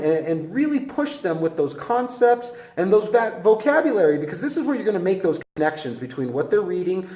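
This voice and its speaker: A man with a high, very lively voice teaches in an echoey room. He presents like an instructor, addressing the viewer directly. His delivery is emphatic and encouraging, with big rises and falls in pitch. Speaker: teacher giving a training lesson.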